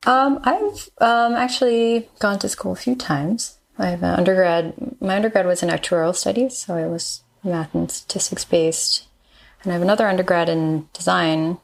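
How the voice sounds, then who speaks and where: A woman in her thirties from Malaysia, a designer and engineer answering interview questions thoughtfully, often pausing with ums. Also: fairly low pitch, creaky. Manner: thoughtful, pauses with frequent ums. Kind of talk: casual interview chat.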